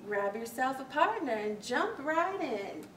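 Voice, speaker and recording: A woman voicing a bossy, jolly storybook crow, calling a barn dance in loud rhythm. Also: high-pitched, very animated, measured pace, heavily acted. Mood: jolly, commanding. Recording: echoey room, distant mic, faint hiss.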